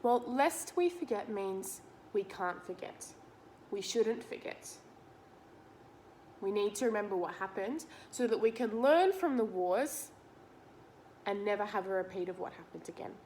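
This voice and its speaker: A woman's voice: medium pitch, expressive, unhurried, a little mellow, slight background noise. A woman reading a picture book aloud to children, explaining it simply and putting questions to the listener. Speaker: read-aloud teacher.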